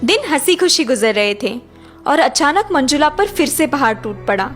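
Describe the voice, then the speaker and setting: A woman's voice: high voice, big pitch swings, normal speed, faint music behind. A woman narrating a scripted story for children.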